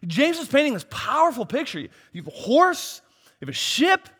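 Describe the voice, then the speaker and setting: Hoarse preacher with a bright voice in a reverberant hall. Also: male voice, very high voice, huge pitch swings, normal speed. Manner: swings from soft asides to loud emphatic preaching. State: losing his voice. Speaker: pastor. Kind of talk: sermon.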